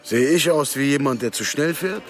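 A man's voice: mid-range voice, lively intonation, normal speed, faint music behind, close to an everyday voice. A man playing an unruffled, sarcastic bar owner under suspicion in a radio drama, ironic and mock-innocent. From Lebanon. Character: smooth-talking, sarcastic male bar owner, unruffled suspect.

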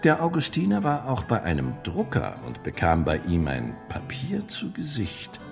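Scheming court politician, radio play, lowish voice, wide theatrical swings, deliberate, evasive. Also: male voice, wildly swooping pitch, vocal fry, music in the background, exaggerated voice. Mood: pointed. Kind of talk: German radio play acting.

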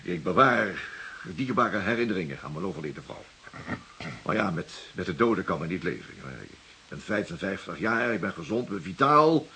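A man's voice: deep voice, animated, normal speed, sharp tone, a little hiss or hum, exaggerated voice. An actor playing a wealthy, vain 55-year-old widower in a radio drama, defensive and indignant, stammering and repeating his words. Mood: earnest, flustered. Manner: stammering, repeating words, blustering when challenged. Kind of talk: Dutch radio drama dialogue.

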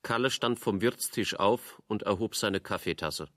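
An actor narrating a radio play as a dry, ironic literary storyteller. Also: male voice, lowish voice, normal speed, a bit bright, no echo, natural voice. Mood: deadpan.

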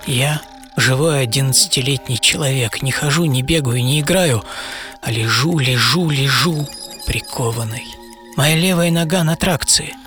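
A man's voice: medium pitch, moderate pace, bright, forceful tone, soft background music, lightly acted. An actor playing a bedridden eleven-year-old boy who dreams of racing, in a theatrical radio monologue told in short clipped phrases. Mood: weary, wry. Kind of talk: dramatic first-person monologue in radio play.

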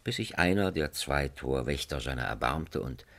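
A man's voice: very deep voice, animated, normal speed, fairly bright tone. A man narrating a radio drama in a dramatic storytelling style.

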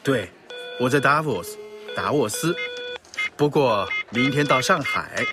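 A man plays a businessman chatting on the phone in a radio drama, unhurried and offhand. Loud music plays behind him. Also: medium pitch, animated, natural voice. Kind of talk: radio play acting.

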